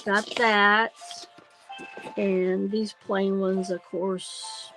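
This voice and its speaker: A woman hosting a craft livestream, nasal from a sinus cold, thinking aloud slowly and hesitantly with many ums. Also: mid-range voice, little pitch movement, bright, forceful tone, faint music behind, low-quality recording. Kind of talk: casual craft livestream chat.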